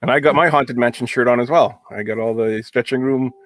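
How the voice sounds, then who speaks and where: A man hosting a casual live stream, chatting and joking in a relaxed way with many fillers. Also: mid-range voice, brisk, no echo. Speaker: host.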